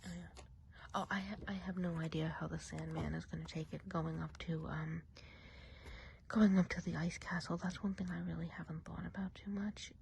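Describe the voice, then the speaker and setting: A woman speaks in a soft, mellow whisper, fairly low in pitch, as a gossipy witch in an ASMR roleplay. Her delivery is casual and confiding, wandering from aside to aside. A faint background noise sits behind her voice. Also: steady tone, moderate pace, gravelly. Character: friendly, gossipy witch of the enchanted woods.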